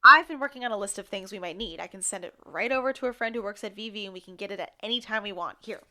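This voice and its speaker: A woman's voice: mid-range voice, fast talker, sharp tone. A woman playing an outspoken radio host in an audio drama, with a dry, pointed, sardonic way of talking. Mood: dry, bargaining. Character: outspoken, exasperated female radio host, wry and determined.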